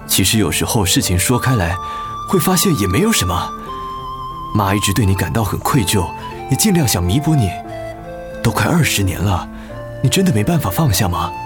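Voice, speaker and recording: Earnest, pleading middle-aged Taiwanese husband, very expressive. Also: male voice, fairly low pitch, brisk. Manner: gentle, pleading. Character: earnest, apologetic husband trying to win back his wife. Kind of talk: Mandarin radio drama acting. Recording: music bed under the voice.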